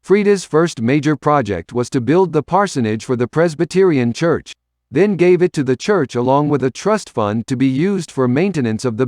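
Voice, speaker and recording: A man narrates local history from a prepared script. His voice sits at a middle pitch, and the recording is dry, with very little room sound. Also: darkish tone, no room sound.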